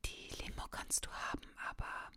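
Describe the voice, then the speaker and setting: A woman voicing a friendly, teasing kiosk owner, speaking in a soft whisper. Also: normal speed, lightly acted.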